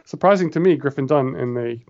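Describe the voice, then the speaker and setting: A man in his forties chats casually about movies with a medium-pitched voice. He rambles through his memories, doubling back as he goes. The recording has a slightly dull, muffled edge. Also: brisk, darkish tone. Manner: chatty, rambling storyteller. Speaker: podcast co-host.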